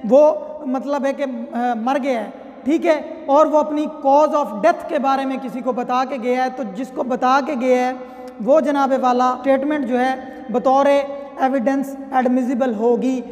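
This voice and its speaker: A man's voice: very high voice, darkish tone. A man with a Pakistani accent giving an explanatory law lecture in a conversational way, reading out and explaining. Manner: conversational, reads clauses then explains them. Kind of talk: explanatory lecture with worked examples. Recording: long reverberant hall-like echo.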